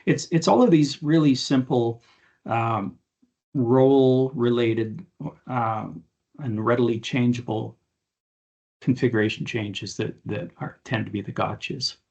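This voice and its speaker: A man speaking as an expert on an aviation podcast, explaining things conversationally and haltingly with informal examples. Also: medium pitch, steady tone, a little mellow, highs cut off.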